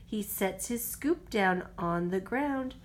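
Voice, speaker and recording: A woman reads a bedtime storybook aloud at a slow, unhurried pace. Her pitch swings freely and expressively, as a mother reading to her young child does. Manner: expressive, drops to whispers for goodnights.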